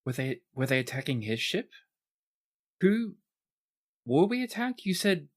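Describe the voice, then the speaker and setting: A man's voice: on the high side, very animated, a little slow, pitched above the performer's own voice. Man doing a frightened middle-aged woman survivor. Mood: panicked. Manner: frightened, breathless questions.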